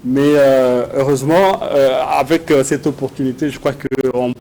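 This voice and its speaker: A man with a Congolese French accent answering interview questions haltingly, often repeating words as he searches for phrasing. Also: mid-range voice, steady tone, warm tone. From Democratic Republic of the Congo. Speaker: guest, researcher and doctoral student. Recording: clear background noise and ambience.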